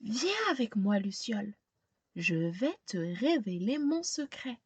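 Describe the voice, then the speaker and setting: A woman voicing a gentle woman gardener in a storybook, tenderly confiding and explaining things to a child. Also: mid-range voice, big pitch swings, slow, slightly rough, no echo, exaggerated voice.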